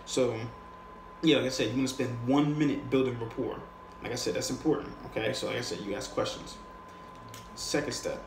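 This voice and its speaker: A man's voice: mid-range voice, fairly even intonation, a little fast, gravelly. Casual slangy man in an echoey room. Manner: conversational, slangy, often checks in with the listener. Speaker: sales coach. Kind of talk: casual, unscripted instructional talk. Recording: echoey room with faint noise.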